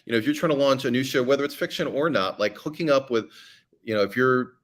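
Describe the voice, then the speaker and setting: A man's voice: mid-range voice, quick pace, a bit bright. A man speaking as a guest on a podcast, chatty and giving advice with frequent ums and restarts. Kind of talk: casual podcast conversation.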